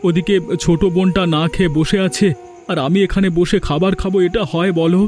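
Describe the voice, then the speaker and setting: A man's voice: sits high, fairly even intonation, a little fast, darkish tone, lightly acted. A man voicing a kind, worried husband and protective brother in a cartoon, earnest and troubled. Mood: worried, helpless. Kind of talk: cartoon voice acting. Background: faint music.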